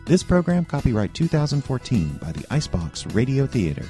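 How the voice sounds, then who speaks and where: Mellow male announcer over guitar music. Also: medium pitch, sing-song, a little fast. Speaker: announcer reading credits. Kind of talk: scripted announcement.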